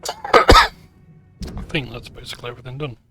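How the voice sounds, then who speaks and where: A man's voice: medium pitch, wildly swooping pitch, measured pace, over music. A man commentating on a video game in a laid-back, joking way, sounding throaty and dehydrated. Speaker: gaming video host. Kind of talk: let's play commentary.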